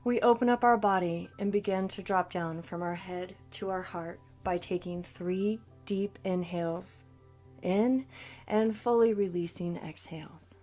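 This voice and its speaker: A woman coach narrating a scripted guided meditation in a soothing, deliberate manner. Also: on the low side, fairly even intonation, a little mellow. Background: background music.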